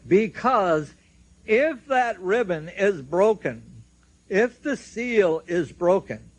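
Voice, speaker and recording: Male American seminar speaker, slightly high-pitched, very measured pace, impassioned and emphatic delivery to a live audience. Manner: emphatic, deliberate, with drawn-out pauses. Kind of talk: impassioned public speech to an audience.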